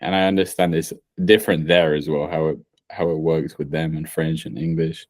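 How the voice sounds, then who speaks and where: Low-voiced podcast host, dry sound. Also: male voice, level tone, darkish tone, dry recording. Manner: measured, builds points through examples with pauses and restarts. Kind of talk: casual podcast chat.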